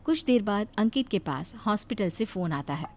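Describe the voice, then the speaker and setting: A woman's voice: mid-range voice, expressive, moderate pace. A woman narrating a scripted moral story as the storyteller.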